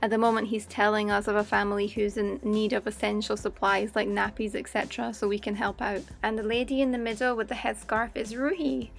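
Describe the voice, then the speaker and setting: A woman giving explanatory voice-over narration in a measured, plain-spoken way. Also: medium pitch, little pitch movement, creaky. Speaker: documentary narrator and aid fundraiser.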